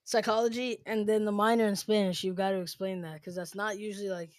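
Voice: mid-range voice, flat delivery, clear, resonant tone, dry recording. Upbeat young podcast host, dry sound. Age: young adult. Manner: upbeat, teasing, quick asides. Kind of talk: casual podcast chat.

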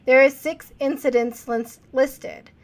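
A woman's voice: high voice, steady tone, takes it slowly. A young adult woman co-hosting a casual podcast, reading from reports and asking earnest questions. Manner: earnest, questioning, reads quotes aloud. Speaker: podcast co-host.

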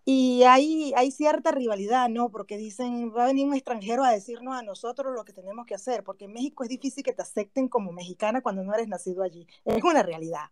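A woman with a Venezuelan accent talks over a remote audio call in an earnest, heartfelt way. Her voice sits a little high, and the sound is dry and close. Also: quick pace, few pauses, a little mellow, no echo. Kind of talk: personal remarks in an online audio chat room.

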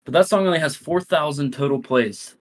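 A man's voice: fairly high pitch, fairly quick. A man co-hosting a casual music podcast, talking in a rambling, hesitant way with many restarts. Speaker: podcast co-host. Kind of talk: casual chat.

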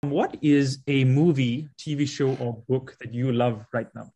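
A man hosting a relaxed interview, asking questions and sharing thoughts with loose restarts. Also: medium pitch, unhurried, breathy edge. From South Africa. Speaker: podcast host. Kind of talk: conversational interview.

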